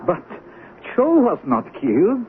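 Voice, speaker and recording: Animated, exasperated ordinary guy. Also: high-pitched, slow, full character voice. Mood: excited, exasperated. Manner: animated, colloquial. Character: exasperated everyday man bringing gossip to a fan. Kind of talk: 1970s radio drama acting. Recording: tinny narrow-band 1970s radio recording, noisy.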